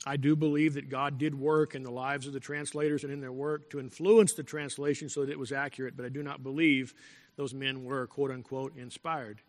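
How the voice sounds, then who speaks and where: A male pastor preaching a sermon in a deliberate, emphatic way, repeating key phrases and clearing his throat now and then. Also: medium pitch, flat delivery. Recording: long echo from a large hall.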